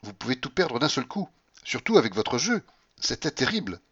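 A man voicing an obsequious gentleman hanger-on in an audiobook, polite and insistent. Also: mid-range voice, big pitch swings, moderate pace, breathy edge, lo-fi sound, pitched above the performer's own voice. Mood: anxious warning. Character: obsequious, worried gentleman hanger-on warning an old lady.

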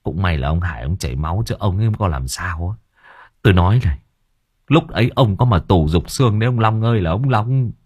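A man doing a low, fretful spirit medium. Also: expressive, fairly quick, darkish tone, husky, dry recording, dull audio. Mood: flustered. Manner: low, hesitant, stammering. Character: fretful middle-aged male spirit medium.

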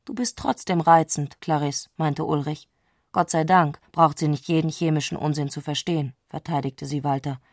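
A woman's voice: sits low, steady tone, normal speed, dry recording, studio quality. A woman narrating a literary radio drama from a prose text.

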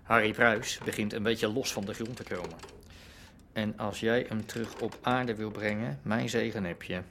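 A man's voice: lowish voice, fairly even intonation, moderate pace, fairly bright tone, slight background noise. An actor playing a cagey, scheming underworld man in a radio drama, protesting in colloquial, indignant speech. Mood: scheming, aggrieved. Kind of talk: naturalistic Dutch radio drama dialogue.

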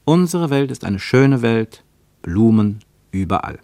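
A man narrating a radio drama travelogue in a measured, descriptive way, building long lists. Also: mid-range voice, very animated, warm tone, dry recording.

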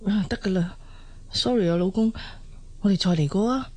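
An actress playing a frustrated, sighing young wife in a Cantonese radio drama, with a Hong Kong accent. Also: female voice, medium pitch, normal speed, mellow, slightly rough, natural voice. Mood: pleading.

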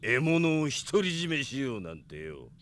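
Menacing bandit, forceful and animated. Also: male voice, medium pitch, measured pace. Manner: rough, threatening. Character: menacing male bandit, vengeful and threatening. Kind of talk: Japanese radio drama acting.

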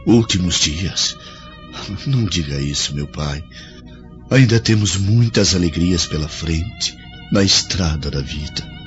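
A man's voice: sits low, huge pitch swings, slow pace, soft background music, heavily acted. An actor playing a dutiful young Roman nobleman in a radio drama, speaking earnestly. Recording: dull lo-fi audio with faint score.

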